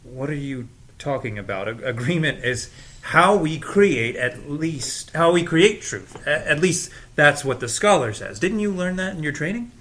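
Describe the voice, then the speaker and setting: A man playing a puzzled, questioning visitor in an audio drama read-through, halting and deliberate. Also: a little high, animated, a bit bright. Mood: puzzled, probing. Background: music underscore.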